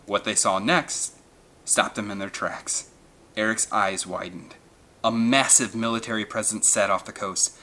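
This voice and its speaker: A man's voice: on the low side, steady tone, measured pace, sharp tone. A man reading an adventure novel aloud as dramatic scripted narration. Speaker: audiobook narrator.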